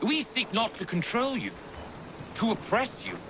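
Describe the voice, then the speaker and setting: Pompous high-voiced military official. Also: male voice, expressive, measured pace, background noise. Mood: righteous. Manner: pompous, declamatory. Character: pompous colonial military official proclaiming sentence. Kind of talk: formal public proclamation in a video game.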